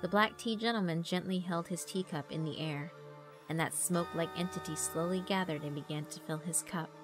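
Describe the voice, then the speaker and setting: A woman's voice: on the low side, a little fast, music in the background. A woman narrator reading a horror visual novel as dramatic scripted storytelling.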